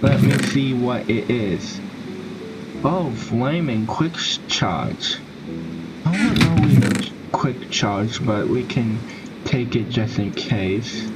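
A man giving casual gameplay commentary in a halting way, with sentences that trail off. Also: medium pitch, animated. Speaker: gaming video host. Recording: very noisy home game recording with clicks.

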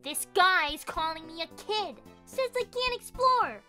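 Woman doing a squeaky cocky bee girl, super high voice that jumps all over, kinda slow and bright, music under it. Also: lively intonation, full character voice. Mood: defiant. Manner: cocky, defiant. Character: brash cocky young female bee explorer. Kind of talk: fan dub of a video game.

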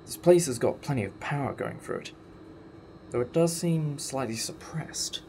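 A man's voice: mid-range voice, expressive, normal speed, vocal fry, noisy background. A man playing a wry, inquisitive time-traveller in a sci-fi audio drama, with a sardonic, explanatory manner. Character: wry, inquisitive, defiant male time-travelling alien adventurer.

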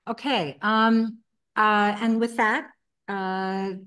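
A woman's voice: medium pitch, fairly even intonation, drawn-out words, a bit bright, clean tone. Academic woman moderating a panel. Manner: articulate, academic, some stammering restarts. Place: conference room panel table.

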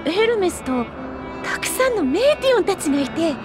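A woman playing an eager, chatty young researcher in a Japanese video game, earnest, polite and expressive. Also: extremely high pitch, brisk. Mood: excited storytelling. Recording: studio voice over loud music.

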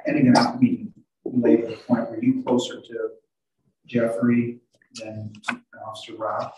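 An older man cross-examining a witness as a defense lawyer, halting and repeating words as he builds questions. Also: medium pitch, flat delivery, warm tone, slight background noise. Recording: very echoey, distant video call audio.

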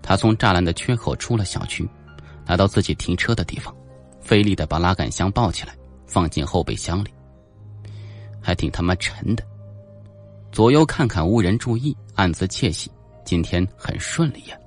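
A man's voice: deep voice, expressive, normal speed, pauses often, faint music behind. A man narrating a suspense audiobook in a dramatic, scripted storytelling style.

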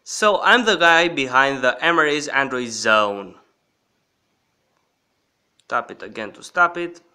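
A man hosting a casual app walkthrough in a halting manner, often checking in with the viewer. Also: medium pitch, lively intonation, sharp tone, clear, resonant tone. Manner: halting, repeatedly checking in with "okay". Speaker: host of an app review channel.

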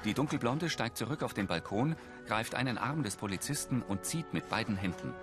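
Tiefe männliche Erzählstimme über lauter Musik. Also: male voice, on the low side, animated, fairly quick, music in the background. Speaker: audio description narrator. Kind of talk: scripted present-tense scene narration.

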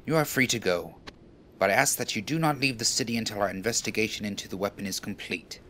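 A man's voice: fairly low pitch, fast talker, bright, forceful tone, a little hiss or hum. A man playing a stern, suspicious naval officer, formal and condescending, in a game fan dub.

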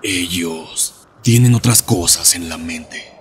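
A man playing a stoic, world-weary monster hunter in a fan dub, terse and deadpan. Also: slow, slight background noise. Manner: terse, deadpan, low-key.

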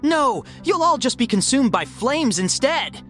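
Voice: high voice, sing-song, a little slow, a bit bright, faint music behind, exaggerated voice. A performer voicing a cocky but caring young hedgehog hero in a comic dub, casual, slangy and confident.

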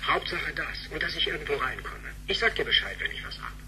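Sharp, flippant teenage hacker son. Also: male voice, a little high, sing-song, fairly quick, slight background noise, heavily acted, low-quality recording. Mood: flippant, cool. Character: flippant teenage hacker son talking in robotic English-laced slang. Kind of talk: radio drama acting.

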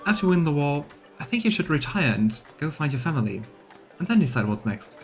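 A man's voice: on the high side, very animated, brisk. A man in his thirties streaming a game, commenting casually and reading dialogue aloud. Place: small room indoors, on webcam. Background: game music.

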